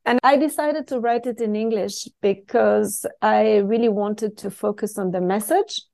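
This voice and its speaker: A woman's voice: medium pitch, unhurried, creaky, darkish tone. A middle-aged woman from Switzerland, a native French speaker with a French accent, talking conversationally as an interview guest. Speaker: podcast guest, expat coach.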